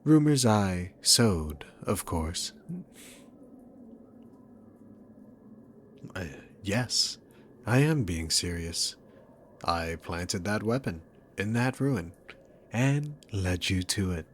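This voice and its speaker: Man acting a deep, slow, sarcastic demon king. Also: expressive, a little hiss or hum. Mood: smug, boastful. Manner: slow, mocking, condescending, theatrical drawl. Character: male demon king, sarcastic dominant villain toying with prey. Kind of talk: ASMR roleplay acting, addressed to the listener.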